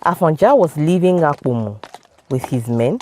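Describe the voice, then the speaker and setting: Nigerian lady narrating a folk story, slow dramatic delivery, very animated pitch. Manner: dramatic, measured phrasing. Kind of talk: scripted folktale narration. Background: music.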